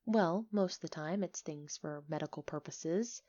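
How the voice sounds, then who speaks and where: A woman playing a friendly, chatty, excitable village alchemist in an ASMR roleplay, expressive and a little flustered, on a slightly dull recording. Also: low voice, moderate pace. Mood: eager, explaining. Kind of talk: ASMR roleplay acting, one-sided conversation.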